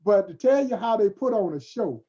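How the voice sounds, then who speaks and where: A man's voice: sits high, animated, moderate pace, mellow. A man speaking as a historian on a panel, telling stories emphatically and oratorically, addressing young listeners directly. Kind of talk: animated storytelling on a panel. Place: virtual panel over video call. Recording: dull, lo-fi video call.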